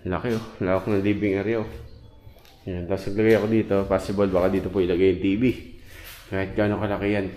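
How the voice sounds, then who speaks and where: A man's voice: low-pitched, flat delivery, fast, dark tone. A man in his thirties, a contractor, chattily walking viewers through a renovated house, filming himself with a handheld camera. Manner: chatty, points things out with repeated fillers. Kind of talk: casual walkthrough vlog. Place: outdoors on a street and inside an empty house. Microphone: handheld action camera.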